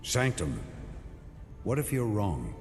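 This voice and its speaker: An actor playing a stern, brooding veteran knight commander in a video game, speaking in terse, weighty, commanding phrases. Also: male voice, fairly low pitch, big pitch swings, slow, vocal fry, heavily acted. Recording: long reverb, music behind.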